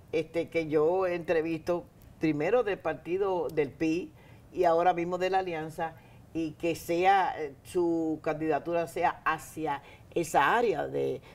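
A woman's voice: low-pitched, measured pace, darkish tone. A woman in her fifties with a Dominican accent, hosting a casual studio interview, talking emphatically and turning often to address her audience. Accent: Dominican Spanish. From Dominican Republic. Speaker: talk show host. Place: TV studio set, seated in an armchair. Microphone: lapel mic.

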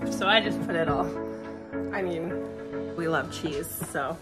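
A woman's voice: lowish voice, takes it slowly, over music. A woman speaking casually and chattily as she narrates a home cooking vlog in her kitchen. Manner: chatty, informal. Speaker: vlogger and mother.

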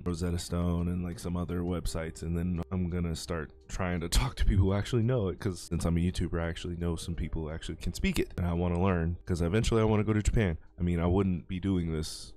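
Low dark-voiced man chatting over gameplay. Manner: rambling, chatty, self-deprecating. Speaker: YouTuber and amateur voice actor. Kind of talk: casual gameplay commentary.

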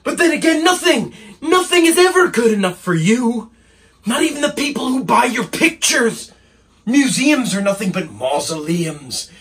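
Man acting a bitter, wounded young assistant, bright-voiced. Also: high voice, lively intonation, moderate pace. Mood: furious, ranting. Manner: bitterly sarcastic, repeats phrases emphatically. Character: angry, wounded young male painter's assistant confronting his boss. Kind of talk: dramatic stage monologue acting.

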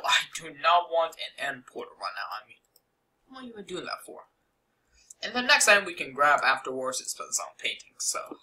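A man's voice: fairly high pitch, sharp tone, poor audio. A young man casually narrating a game-building tutorial over a screen recording, rambling with many fillers and asides.

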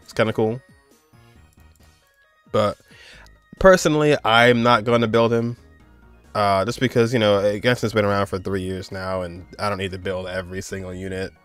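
A man's voice: fairly low pitch, steady tone, normal speed, long pauses, fairly bright tone, clear, resonant tone, no room sound, faint music behind. A young man giving casual, rambling reaction commentary as the host of a gaming video. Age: young adult. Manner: rambling, conversational. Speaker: host reacting to a game trailer.

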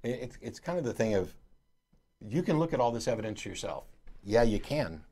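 A man's voice: mid-range voice, sing-song, brisk, darkish tone, slightly rough. A middle-aged man hosting a call-in show in a studio, explaining his points emphatically and gesturing as he talks. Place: studio, at a desk. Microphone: lapel mic.

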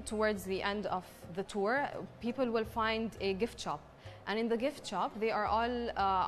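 A young woman from Palestine speaks in a middle register. She talks in an explanatory, proud tour-guide way, pausing often between her points. Soft music plays faintly behind her in an indoor exhibition hall. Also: medium pitch. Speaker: pavilion representative. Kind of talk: on-camera interview.

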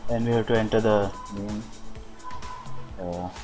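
A man with a fairly low voice walks through a piece of software step by step. His delivery is very flat and somewhat halting, as if explaining unscripted. Music plays clearly behind him throughout. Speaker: software demonstrator. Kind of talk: unscripted screen-recorded software walkthrough.

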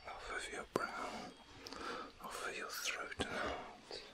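A man in his fifties giving an ASMR reiki relaxation session in a breathy, husky whisper, soothing and unhurried, with short phrases and long pauses. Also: bright, forceful tone. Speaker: reiki healer. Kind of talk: ASMR guided relaxation, personal attention. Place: small room at home, indoors.

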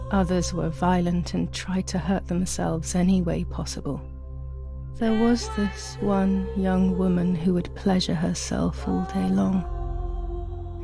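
A haunted young woman narrates her past in a dark game drama. Her voice is dark and low, held to a narrow, numb range. She speaks in a measured, somber, confessional way over music. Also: creaky. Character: traumatized young woman recounting war and asylum, haunted, numb.

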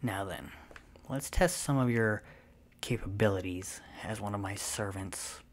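A woman playing a possessive, smug and playful vampire mistress in a breathy, unhurried ASMR roleplay. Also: rumbling low. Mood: commanding, pleased. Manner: self-satisfied, teasing, unhurried, often breathy and half-whispered.

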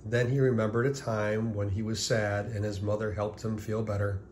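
A man reading a children's picture book aloud as scripted narration, deliberately, with pauses before key words. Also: lowish voice, flat delivery, a little mellow, a little hiss or hum.

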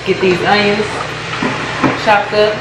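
Slangy young American woman, loud kitchen noise. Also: mid-range voice, level tone, takes it slowly. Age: young adult. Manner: slangy, playful, repeats phrases. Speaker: vlog co-host. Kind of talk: casual vlog banter. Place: home kitchen, indoors. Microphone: camera or phone a few feet away. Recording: echoey kitchen, loud clatter of pans.

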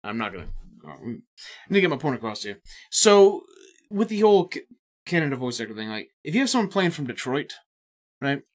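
A man in his thirties co-hosting a casual gaming podcast, swearing freely and jumping between asides. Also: mid-range voice. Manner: animated, profane, quick asides and impressions. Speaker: podcast co-host. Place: indoor studio set.

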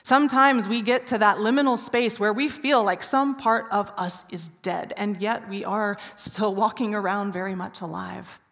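Woman, 40s, pastor, Easter sermon, hushed storytelling pauses, long hall reverb. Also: medium pitch, gravelly. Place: large church stage.

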